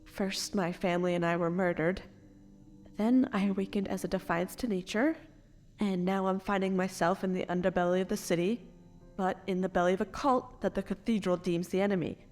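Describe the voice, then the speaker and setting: An actress playing a grieving, stitched-together woman brought back from death, speaking in anguished, halting phrases in an audio drama. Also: mid-range voice, steady tone, a little mellow, close to an everyday voice. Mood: bitter despair. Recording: long reverb, music underneath.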